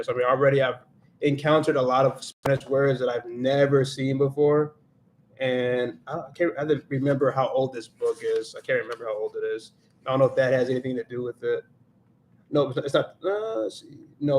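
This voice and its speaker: A man of middle pitch hosts a laid-back livestream, reflecting out loud as he goes. He slips into Spanish here and there, with a faint hum behind him. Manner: reflective, thinks aloud, switches into Spanish now and then. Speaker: livestream host and Spanish learner. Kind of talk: casual livestream chat.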